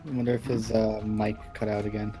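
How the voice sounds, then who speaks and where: A man's voice: fairly low pitch, flat delivery, brisk, over music. A man chatting casually while playing a tabletop role-playing game.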